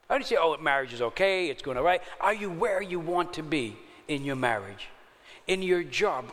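A man's voice: fairly high pitch, long reverb tail. A man, preaching a sermon in a chatty way and often checking in with his listeners. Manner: conversational, checks in with the audience often. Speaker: pastor.

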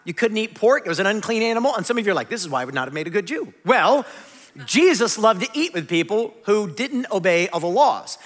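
A man in his forties preaching a conversational sermon, animated and self-deprecating. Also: high-pitched. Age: 40s. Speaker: pastor. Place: large church hall. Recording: long hall reverb.

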